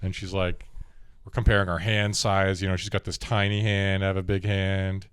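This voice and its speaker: A young man with a deep voice, a podcast host telling a rambling story in casual chat and laughing often. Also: flat delivery, normal speed, clear, resonant tone, dry recording.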